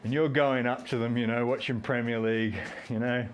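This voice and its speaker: A man's voice: mid-range voice, steady tone, fairly quick, slight background noise. A man, hosting a fitness live stream, rambling and joking while he does squats and counts reps aloud. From Australia. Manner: talks while doing squats.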